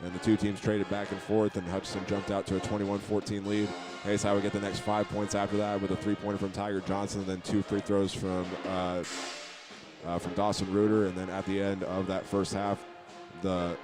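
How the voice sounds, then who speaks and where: A man with a fairly low voice delivers radio sports commentary as a play-by-play announcer. His intonation stays flat and even, and his phrasing can be halting. Faint music sits behind him. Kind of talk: radio halftime recap commentary.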